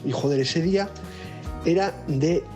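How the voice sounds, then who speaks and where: A man's voice: fairly high pitch, a little slow, mellow. A man with an accent from Spain leaving an informal, rambling voice message as a listener. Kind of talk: casual voice message. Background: music.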